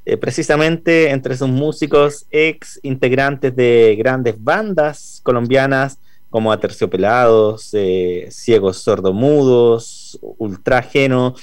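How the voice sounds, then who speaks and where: A male radio host, interviewing a guest and often pausing on "eh". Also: mid-range voice, smooth, no room sound. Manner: hesitant with frequent "eh" fillers.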